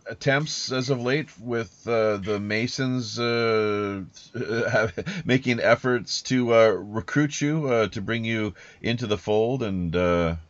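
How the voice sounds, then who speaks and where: Halting man hosting, dull lo-fi sound. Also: on the low side, no echo. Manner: halting, rambling, wordy. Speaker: podcast host. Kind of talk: casual interview chat.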